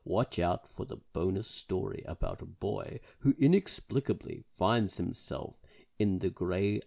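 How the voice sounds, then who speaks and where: A man's voice: lowish voice, gravelly, mellow. A man reading a scripted, upbeat review of a comic for his podcast. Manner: upbeat promotional read. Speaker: podcast host.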